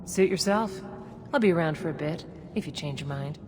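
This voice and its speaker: Woman playing a very low-voiced underworld contact. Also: a little fast, crowd noise, close to an everyday voice. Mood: cool, offhand. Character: cool, businesslike female underworld contact. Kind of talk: video game voice acting. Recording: loud music behind. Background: game music.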